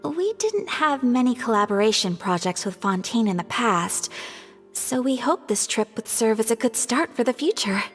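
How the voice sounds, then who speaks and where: Game role: gracious young noblewoman, high lilting voice, refined and curious, music behind. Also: moderate pace, in a large hall. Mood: reassuring, earnest. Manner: polite, refined, gently expressive. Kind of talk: video game voice acting.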